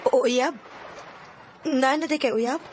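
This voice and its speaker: A secretive young Cebuano woman in a radio drama, torn and emotional. Her voice is high and plaintive, with hesitant phrasing and slight background noise. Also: slow, darkish tone. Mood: casual. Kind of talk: Filipino radio drama acting.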